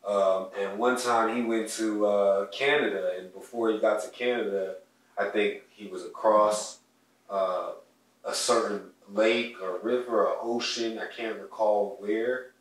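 A young man with a lowish voice tells heartfelt personal stories in a relaxed home chat. He sounds set back from the mic, and the room around him rings with echo. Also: unhurried, recorded from a distance. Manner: earnest, heartfelt, speaks of his soul and ancestors. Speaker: podcast co-host. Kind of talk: casual conversational storytelling. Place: home living room, indoors. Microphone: distant mic on a stand, wide camera. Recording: echoey room audio picked up from afar.

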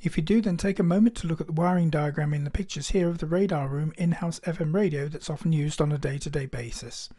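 A man presents scripted technical narration in a measured, instructional manner. His voice sits a little high for a man and has a very soft, mellow tone. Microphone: voice-over.

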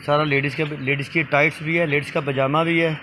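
A man's voice: on the high side, flat delivery, fast. Young guy selling used clothes on a phone vid, keeps saying look and call, faint hum behind. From Pakistan. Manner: repetitive salesman patter, urging viewers to look and call. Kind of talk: casual sales vlog. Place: small indoor shop full of clothing bundles.

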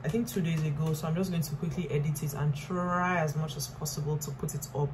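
A woman in her twenties with a Nigerian accent chatting casually to camera, pausing and repeating words for emphasis. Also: low voice, steady tone, over music, low-quality recording. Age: 20s. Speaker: lifestyle vlogger. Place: small bright room indoors. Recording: clear background noise and hum.

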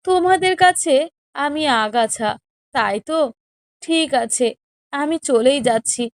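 Woman as cartoon elder wife: very high voice, meek, wronged, pleading and sorrowful. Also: lively intonation, a little slow, dry recording. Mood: sorrowful, despairing. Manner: plaintive, pleading. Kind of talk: melodramatic cartoon story dialogue.

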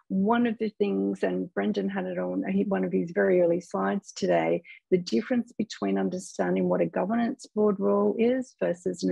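A woman's voice: medium pitch, vocal fry, dark tone, slightly rough, no room sound, rough recording. A middle-aged woman on a video call, earnest and explanatory with frequent ums, as a panelist. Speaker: aged care board panelist. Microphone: webcam on video call.

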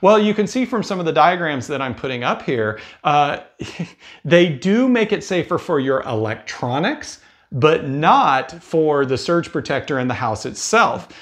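A man's voice: on the high side, big pitch swings, unhurried. A man in his fifties with an American accent, talking casually and explaining things as a video host. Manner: conversational, explanatory. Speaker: cruise advice video host. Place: indoor room with bookshelves. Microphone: lapel mic.

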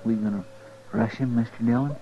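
A man's voice: medium pitch, steady tone, fairly quick, lightly acted. A man playing an anxious, deferential deputy sidekick in a 1950s radio western. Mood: worried. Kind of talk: 1950s radio drama acting. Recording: muffled, noisy 1950s radio recording.

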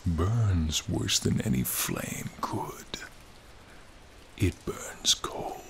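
Male actor as courtly dragon for ASMR roleplay: very low, slow, breathy to whispering, charming with a menacing edge. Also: exaggerated voice. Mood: proud, ominous. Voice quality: breathy, often sinking to a whisper. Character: ancient-sounding male frost dragon, charming, courtly, menacing turned friendly. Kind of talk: ASMR roleplay, dramatic monologue. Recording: steady rain-like ambient noise.

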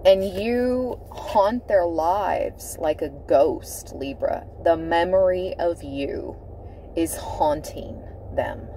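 A woman reads tarot cards from her car, her voice low and mellow. She talks in an unhurried, deliberate way, leaning on her words and repeating them for weight. A faint hum of the car cabin sits behind her. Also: rough recording. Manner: slow, dramatic, emphatic delivery with repeated phrases. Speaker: tarot reader. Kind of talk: tarot card reading to camera. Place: inside a parked car.

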